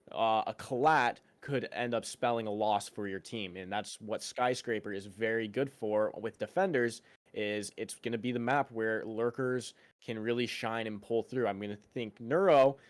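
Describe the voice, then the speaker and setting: A man's voice: lowish voice, no room sound. A man doing live esports commentary, upbeat and building hype, often repeating a word as he searches for the next. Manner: upbeat, stretches words, repeats himself mid-sentence. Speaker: esports caster.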